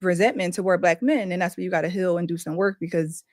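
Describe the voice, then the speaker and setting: A woman guest in a casual group discussion who speaks in a calm, reflective, measured way. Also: fairly low pitch, dry recording, clean studio sound. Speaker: panel guest.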